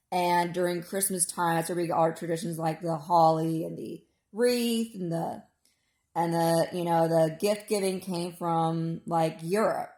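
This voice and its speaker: A woman in her mid-thirties speaks in a hoarse, lowish voice. She delivers a digressive, opinionated personal monologue in an informal way. The recording is noticeably echoey. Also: darkish tone. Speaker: vlogger.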